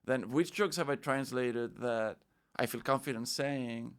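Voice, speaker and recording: Man from Spain, guest comedian, casual podcast chat, animated, fillers and restarts, mid pitch. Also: measured pace, clean tone.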